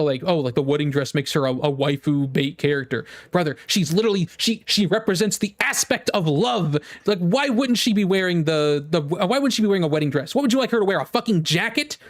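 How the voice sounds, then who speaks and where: A man's voice: high voice, sing-song, a little fast, hardly any pauses. A man streaming casually to his viewers, rambling and repeating himself as he gives emphatic opinions in fan-rant style. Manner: rambling, with frequent "like" and repeated phrases. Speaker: game streamer.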